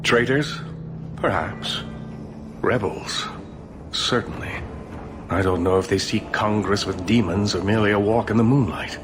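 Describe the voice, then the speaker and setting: A man playing a weary, cautious leader of mages in a video game drama, speaking in a measured, formal way. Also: lowish voice, natural voice. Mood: grave, measured.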